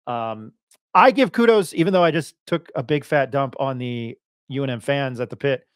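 A man's voice: medium pitch, moderate pace, clear, resonant tone, no room sound. A middle-aged man hosts a casual sports podcast. His talk is slangy and irreverent, in a mid-range voice. The sound is dry and close, from a home studio. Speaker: podcast host. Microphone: close podcast mic on boom arm.

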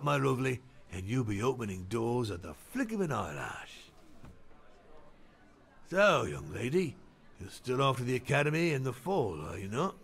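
Gravelly husky old innkeeper guy, fatherly, voice bounces up and down a lot, game dialogue. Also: medium pitch, exaggerated voice. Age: older. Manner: warm fatherly praise, folksy turns of phrase. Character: kindly old male innkeeper, fatherly and shrewd.

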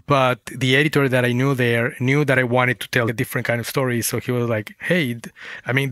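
A man's voice: medium pitch, fairly bright tone, dry recording, studio quality. A man, a Spanish speaker, telling stories reflectively as an interview guest. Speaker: guest author, former journalist.

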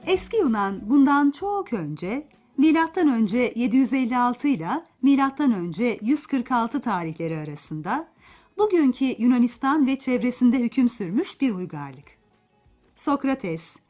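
A woman storyteller reads for children in a fairly high voice. Her delivery is expressive, with wide, lively intonation, and music plays underneath. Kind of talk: expressive scripted narration of a folk tale for children.

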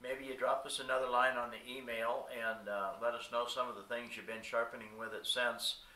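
A man's voice: mid-range voice, flat delivery, brisk, bright, forceful tone. A man in his fifties chatting casually on a phone call with a customer as a product seller. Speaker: knife sharpener company host and seller. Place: hotel room, indoors. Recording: roomy hotel-room echo.

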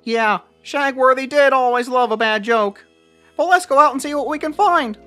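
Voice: high voice, normal speed, sharp tone, soft background music. A performer voicing a lanky, cowardly young slacker guy in a cartoon, whiny and sarcastic, peppering speech with "like". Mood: casual. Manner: whiny, says "like" constantly. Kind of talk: cartoon voice acting.

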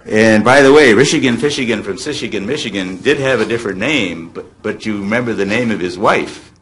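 An American man in his seventies reminiscing to an audience as an animated storyteller, drawing out words for effect. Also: lowish voice, a little fast. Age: 70s. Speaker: former child radio actor on a panel. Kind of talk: reminiscing to an audience, taking questions. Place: hotel meeting room, panel table. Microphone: table microphone on stand. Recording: noisy hotel meeting-room amplification.

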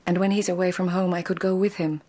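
An actress playing a witty, composed and devoted court lady in a radio drama, speaking in a hushed, polite, restrained manner. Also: on the low side, flat delivery, fast, close to an everyday voice. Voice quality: husky, hushed. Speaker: actor.

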